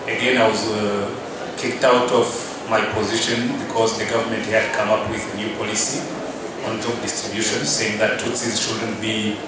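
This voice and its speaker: Low-voiced Rwandan man in echoey hall. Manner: measured, pausing with frequent "uh". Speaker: conference speaker at a press briefing. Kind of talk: slow personal testimony. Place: press conference room. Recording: very echoey room, mic far off, loud background noise.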